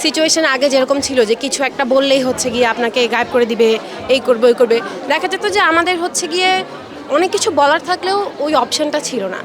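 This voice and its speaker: A woman's voice: sits high, fast talker, crowd noise. A woman with a Bangladeshi accent giving a vox pop interview as a visitor, mixing in English phrases. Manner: mixes English phrases into speech. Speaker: exhibition visitor. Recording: noisy crowded public space.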